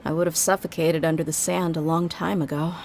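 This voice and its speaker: A woman's voice: low-pitched, level tone, brisk, creaky, a little mellow, a little hiss or hum. An actress playing a tough, sardonic young woman mercenary with a guarded but tender streak in a video game. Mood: reflective, wistful.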